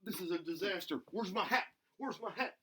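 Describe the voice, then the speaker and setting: A man voicing an excitable, goofy buzzard who hosts a children's show, playful and giggly as he talks to himself and to the kids. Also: high-pitched, brisk, very noisy, natural voice. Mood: flustered, excited. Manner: giggly, self-interrupting, talks to himself in third person. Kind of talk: playful craft demonstration for children.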